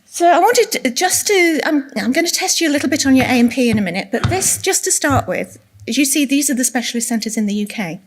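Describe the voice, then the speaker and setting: A soft-spoken woman with a slightly high voice presents in a conference room. She speaks as a clinical nurse specialist and keeps the audience involved with questions. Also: animated, a little fast.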